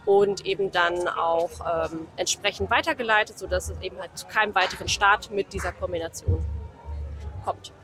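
A woman's voice: lowish voice, normal speed, over music. A woman judge and ring steward explaining equipment rules in an interview, talking at length with many fillers. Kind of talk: interview answers. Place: outdoors at a horse show.

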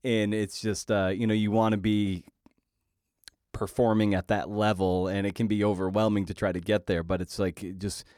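A man hosting an interview podcast, talking conversationally in a halting way and often repeating his words. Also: fairly low pitch, flat delivery, darkish tone, dry recording, clean studio sound.

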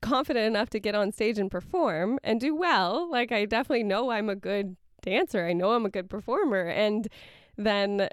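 A woman's voice: medium pitch, smooth, no echo, studio quality. A woman co-hosting a podcast, talking reflectively and giggling, with lots of filler "like"s. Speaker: podcast co-host. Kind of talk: casual chat.

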